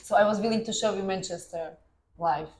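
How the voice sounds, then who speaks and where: A woman's voice: medium pitch, brisk, a little mellow, echoey room, a little hiss or hum. A woman from Slovakia with a Slovak accent, chatting casually and playfully as a podcast guest, often teasing. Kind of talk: casual chat.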